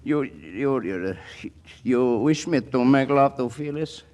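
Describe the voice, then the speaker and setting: An actor playing an ardent, charming, flustered suitor in a 1940s radio comedy, with a Spanish accent and effusive broken English. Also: male voice, medium pitch, lively intonation, slow pace, a little mellow. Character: ardent young Latin suitor, charming penniless man, flustered.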